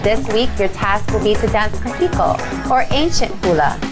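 A woman's voice: mid-range voice, lively intonation, moderate pace. A woman hosting a reality competition, giving the contestants their task in an upbeat, announcing manner. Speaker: show host. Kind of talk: scripted host announcement. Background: music.

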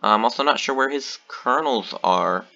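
A man's voice: mid-range voice, normal speed, vocal fry, highs cut off. A man giving casual commentary on his own board game match, thinking aloud with lots of ums and uhs. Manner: thinking aloud, relaxed, many fillers.

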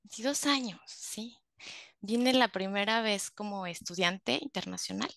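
Gravelly Mexican woman, dry close sound. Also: mid-range voice, unhurried, fairly bright tone, dry recording. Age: 40s. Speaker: migrant support worker. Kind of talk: casual personal storytelling on a video call. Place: living room indoors. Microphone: headset on a video call.

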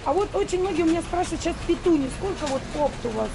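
A woman with a creaky voice doing a casual market walkthrough, questioning vendors and addressing viewers affectionately. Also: very high voice, animated, racing, low-quality recording. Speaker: market vlogger. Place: busy open-air wholesale market. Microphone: handheld camera. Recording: busy crowded market noise.

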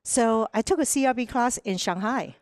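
A woman's voice: a little high, normal speed, no room sound, clean studio sound. Woman with a Hong Kong accent. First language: Cantonese. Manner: animated, acts out quoted voices, frequent "right?". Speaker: podcast guest. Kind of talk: casual interview storytelling. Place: sunny room with large windows. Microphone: headset mic.

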